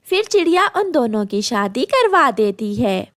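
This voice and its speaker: A woman's voice: fairly high pitch, sing-song, measured pace, a bit bright, no room sound, soft background music. A woman narrating a scripted children's story as storyteller.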